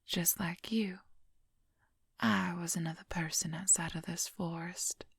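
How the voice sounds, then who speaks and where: A woman's voice: deep voice, no room sound, exaggerated voice. A woman playing a possessive, wry female werewolf lover in an intimate, confiding whispered ASMR roleplay, close to the microphone. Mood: wistful, confiding. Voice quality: breathy, husky whisper. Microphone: close microphone, dry.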